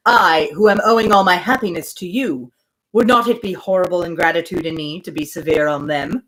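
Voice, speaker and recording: A woman reading the lines of a dry, teasing gentleman in his thirties in her own plain voice. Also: on the low side, fairly quick, lightly acted, low-quality recording.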